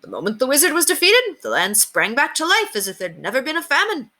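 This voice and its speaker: A woman's voice: high voice, wildly swooping pitch, fast, bright, forceful tone, voice pushed up, heavily acted. A woman voicing a cheerful, matter-of-fact, friendly countryman in a storybook read-aloud. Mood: pleased. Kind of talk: storybook character reading.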